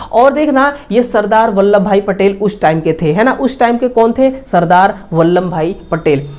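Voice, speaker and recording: A woman's voice: a little high, darkish tone. A woman with an Indian accent teaching an exam-review lesson, explaining instructively with repeated tag questions and repeated answers.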